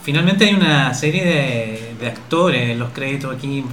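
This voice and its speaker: A man's voice: mid-range voice, animated, normal speed, a bit bright. A man hosting a conversational interview, putting questions to his guests. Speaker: interviewer, host. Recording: roomy echo with faint noise.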